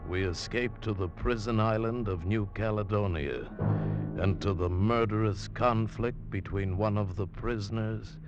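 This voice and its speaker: Slow, very deep vintage radio announcer man. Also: loud music behind the voice. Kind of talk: dramatic 1940s-50s radio introduction. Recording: noisy, dull vintage radio recording.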